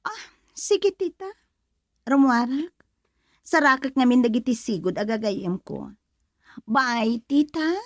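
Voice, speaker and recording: High-pitched, pouty young Ilocano woman. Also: expressive, slow, long pauses, no echo, studio quality. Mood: scheming. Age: young adult. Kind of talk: Filipino radio drama acting.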